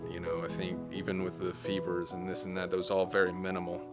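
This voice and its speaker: A man speaking candidly and plainly to camera about himself, in a halting, conversational way. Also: sits low, completely flat delivery. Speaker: documentary subject, surgery patient. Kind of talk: candid personal talk to camera. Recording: loud music behind the voice.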